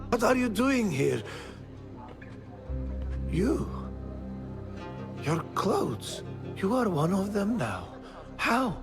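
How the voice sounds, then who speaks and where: An actor playing a devout, emotional old rebel merchant in a video game, speaking in an earnest, fretting and emphatic way. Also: male voice, high voice, sing-song, extremely slow, gravelly, long pauses, heavily acted. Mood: stunned. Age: older. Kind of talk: dramatic video game cutscene acting. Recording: music behind the voice.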